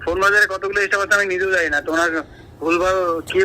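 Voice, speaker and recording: A man's voice: high-pitched, fairly even intonation, quick pace, pushed and bright. A man with a regional Bengali accent on a phone, brusque and impatient, firing repeated questions. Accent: Bengali regional dialect. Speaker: prank call recipient. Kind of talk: irritated phone conversation. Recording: noisy tinny phone line.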